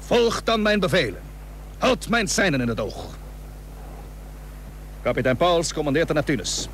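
A resolute old-time Dutch fleet commander in a radio play. His voice is fairly high and swings widely in pitch, earnest and commanding as he addresses his captains in formal, solemn words. The old recording carries faint noise. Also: a bit bright.

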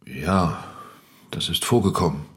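A man's voice: deep voice, measured pace, a bit bright. An actor playing an evasive married man in an affair, giving terse, hesitant answers in a German radio drama. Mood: hesitant, subdued.

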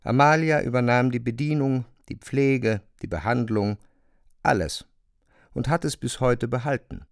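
An actor speaking a calm, measured narrating part in a radio play. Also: male voice, medium pitch, vocal fry, no room sound, studio quality. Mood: grave. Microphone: close, dry studio mic.

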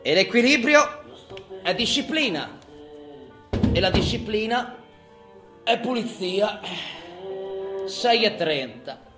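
Volatile, obsessive prison inmate. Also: male voice, high-pitched, sing-song, over music, full character voice. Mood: measured, intense, then emphatic, rigid. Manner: swings from muttered confiding to barked, drawn-out announcements. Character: long-serving male prison inmate, obsessive about order, solitary, sardonic. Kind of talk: theatrical monologue, colloquial and profane.

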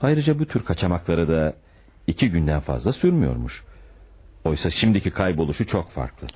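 A hard-nosed police commissioner in a radio play. His voice is deep and husky, with animated rises and falls. He is curt, sarcastic and suspicious as he presses his questions. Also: a little fast, full character voice. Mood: matter-of-fact, pressing. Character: blunt, tactless, suspicious male police commissioner. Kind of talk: radio theatre acting.

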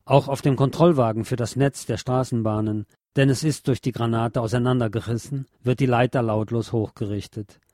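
A man giving a measured, solemn scripted reading of literary prose as narrator, articulating clearly. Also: medium pitch, fairly even intonation, warm tone, no echo, clean studio sound. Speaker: narrator and reader.

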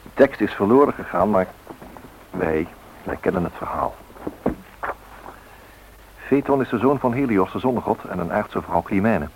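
A low, brooding male narrator recites history and myth in a radio play. His delivery is reflective and scripted. A faint hiss from an old radio recording sits under the deep voice. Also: expressive, normal speed, long pauses, muffled recording.

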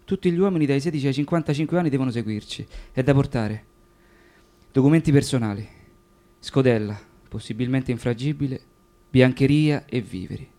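An actor in a radio play voicing a man who reads out a stern wartime order in a formal, measured way. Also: medium pitch, mellow, close to an everyday voice. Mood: cold, official. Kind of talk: dramatised reading in a radio play.

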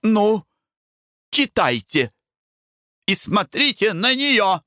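A man voicing a proud young woman who speaks high and emphatically. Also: big pitch swings, takes it slowly, fairly bright tone, clean tone, no echo, studio quality, full character voice. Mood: indignant.

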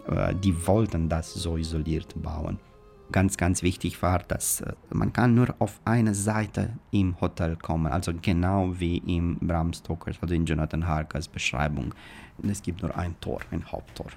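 Guy explaining stuff, really deep and croaky, bit of music under. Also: fairly even intonation, normal speed, darkish tone. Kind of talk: spontaneous explanation in an interview.

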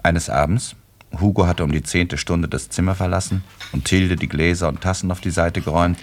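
A man narrating a literary radio play as a composed, dryly ironic storyteller, with a husky voice in measured delivery. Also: steady tone, a little hiss or hum. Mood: composed, wry. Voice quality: very deep, husky. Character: wry, composed male storyteller of a literary novel.

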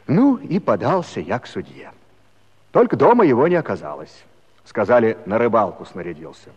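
A man's voice: medium pitch, sing-song, normal speed, breathy edge, muffled recording. An actor playing an aggrieved village Cossack who tells his tale in a folksy, drawling, plaintive way, in theatrical musical comedy style. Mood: confiding, folksy. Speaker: narrating character. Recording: reverberant hall-like studio recording.

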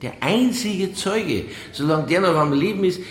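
An actor playing a stubborn, world-weary veteran homicide detective in a radio play, with a gravelly voice, a Bavarian accent and a laconic manner. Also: male voice, high voice, animated, measured pace, reverberant, slight background noise. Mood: gruff, insistent. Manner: laconic, dry, unbothered. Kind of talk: radio drama acting.

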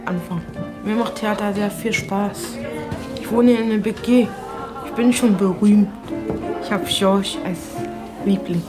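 Female stage actor, plain short statements, mid pitch, music underneath. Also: fairly even intonation, unhurried, long pauses. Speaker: theatre actor. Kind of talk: simple self-introduction.

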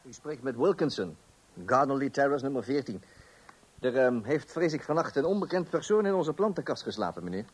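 A fussy middle-aged Dutch husband in a radio play, with a husky, mid-pitched voice. He is indignant and sure of himself, though he stumbles over little hesitations and repeats himself. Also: animated, a little fast. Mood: hesitant, polite. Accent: Netherlands Dutch. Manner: self-assured, hesitant little ehs and repeated words. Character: fussy, indignant middle-class husband guarding his property.

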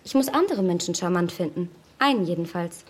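A woman's voice: lowish voice, lively intonation, a little fast, slightly rough. A young woman acting a witty, self-assured heiress in a period radio play, poised, articulate and superior in manner.

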